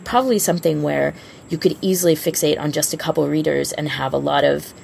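Female podcast co-host, casual and rambling, deep register with strong creak. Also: fast talker, darkish tone, slight background noise. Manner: informal, rambling, self-interrupting. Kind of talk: casual fan podcast chat.

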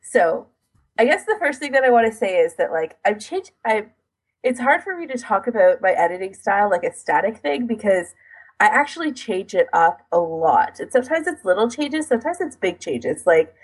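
A woman co-hosting a podcast, talking casually in a halting, self-deprecating manner. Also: mid-range voice, very animated, breathy edge, rough recording. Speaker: podcast co-host.

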